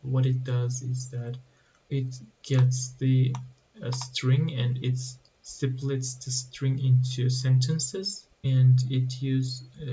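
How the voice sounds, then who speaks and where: A man explaining code slowly with halting restarts, as a tutorial host. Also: medium pitch, warm tone, dull audio, slight background noise. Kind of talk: screen-recorded coding walkthrough.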